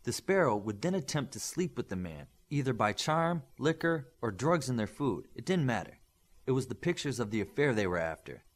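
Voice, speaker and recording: A male narrator plays a stern mentor of young superheroes in an audiobook. He lectures firmly and with principle, leaning on rhetorical questions, his mid-pitched voice rising and falling emphatically. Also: sing-song, moderate pace. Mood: stern, lecturing. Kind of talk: audiobook character dialogue.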